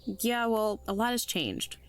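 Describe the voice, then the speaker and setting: A woman's voice: medium pitch, lively intonation, measured pace. An actress in an audio drama playing a blunt, sardonic woman with a casual, slangy way of talking. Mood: wary, blunt. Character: Olivia, blunt, sardonic, slangy human friend.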